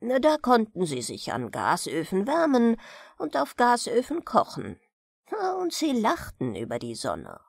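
Slow, very animated woman storyteller. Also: on the high side, vocal fry, breathy edge, dry recording, studio quality. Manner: expressive, unhurried storytelling. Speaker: audiobook reader of fairy tales. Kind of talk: scripted bedtime fairy-tale narration.

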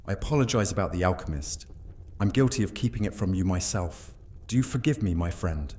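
A man playing a suave, commanding vampire Roman soldier in a fantasy audio drama, smooth and deliberate. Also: low voice, animated, a little mellow. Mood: resigned.

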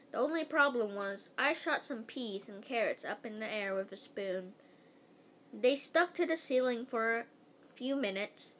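A female voice giving an animated read-aloud of a children's book, stumbling over words now and then and adding casual asides. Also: a little high, a little hiss or hum.

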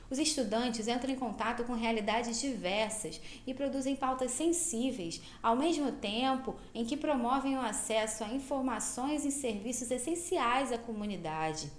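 A woman's voice: fairly high pitch, animated, moderate pace, hardly any pauses. Woman narrating in an echoey room. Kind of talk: scripted voice-over narration.

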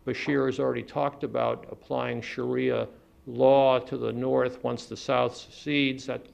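A man in his sixties from the United States, moderating a panel with a formal introduction in measured, rhetorical phrases, standing at a podium mic in a conference room. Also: mid-range voice, little pitch movement, creaky, darkish tone.

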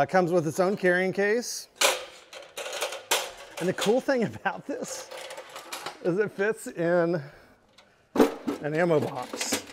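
A man's voice: high voice, very noisy. A man in his thirties casually walking through products in a large indoor showroom, chatty with lots of "um" and "right?". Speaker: store product presenter.

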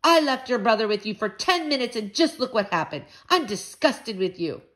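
A woman voicing an emphatic, emotional mother in a children's audiobook, doting but quick to scold. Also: mid-range voice, big pitch swings, fairly bright tone.

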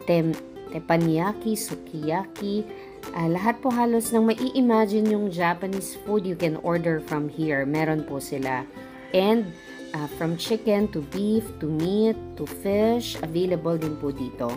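A middle-aged woman with a Filipino accent narrates a food vlog. Her pitch sits in the middle range and she talks casually, as a presenter to viewers. Clear music plays behind her voice. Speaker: food vlogger.

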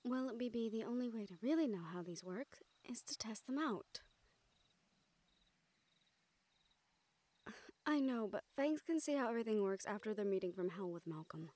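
A woman playing an excitable, caring young mage in an intimate audio roleplay, with animated, halting delivery. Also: on the high side, no room sound, natural voice. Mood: eager, nervous. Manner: animated, halting, swearing freely.

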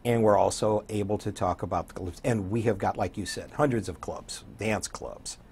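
An older man with an American accent and a hoarse voice, chatting as a radio DJ guest in an interview. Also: lowish voice, normal speed, darkish tone, a little hiss or hum. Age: 60s or older. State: hoarse, getting over an illness. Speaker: community radio program director and morning DJ, guest. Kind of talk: conversational interview. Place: small indoor TV studio.

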